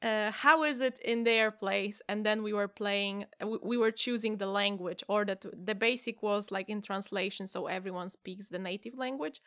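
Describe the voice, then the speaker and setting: A woman from Poland with a Polish accent, a guest chatting casually on a podcast and telling stories with lively restarts. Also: medium pitch, normal speed, hardly any pauses, dry recording, studio quality. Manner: lively storytelling with many restarts. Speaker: guest improviser.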